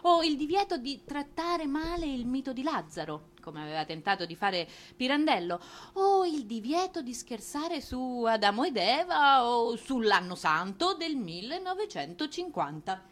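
A woman's voice: high voice, very animated, moderate pace, hardly any pauses, fairly bright tone. A woman presenter delivering theatrical scripted narration with an ironic, emphatic manner.

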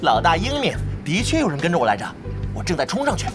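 A high-pitched, cocky young brawler. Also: male voice, huge pitch swings, fast talker. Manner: bombastic, mock-heroic. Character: cocky, reckless, dim-witted loyal young male brawler. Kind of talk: radio drama comic acting. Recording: music bed behind voice.

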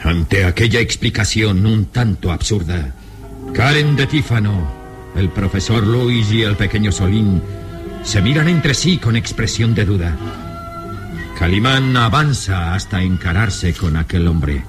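Low-voiced male radio drama narrator over music. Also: expressive, unhurried.

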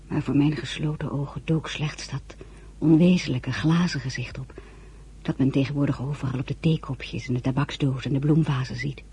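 An earnest young woman narrating her story in a radio play. Her voice is mellow, hushed and tender, with faint background noise. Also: extremely low pitch, fairly even intonation, moderate pace. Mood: somber. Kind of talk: dramatic first-person narration, radio acting.

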